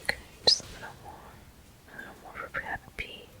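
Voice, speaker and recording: A person whispering soothing, unhurried ASMR game commentary in a breathy voice, close to the microphone. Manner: soothing, unhurried, softly encouraging. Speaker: game streamer.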